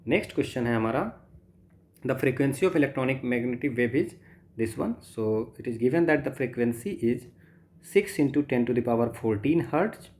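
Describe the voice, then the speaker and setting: A man's voice: mid-range voice, animated, slow pace, a little mellow. Indian man tutoring, thinking aloud. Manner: thinks aloud, poses questions and answers them himself. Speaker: physics tutor. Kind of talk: step-by-step exam problem lesson, mixing Hindi and English.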